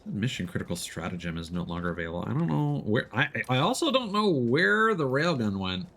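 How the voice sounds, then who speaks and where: A man's voice: medium pitch, very animated, fairly quick, fairly bright tone. A man streaming a video game, explaining it casually as he plays in an easygoing way. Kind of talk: casual gameplay commentary, explaining as they play. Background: video game sound.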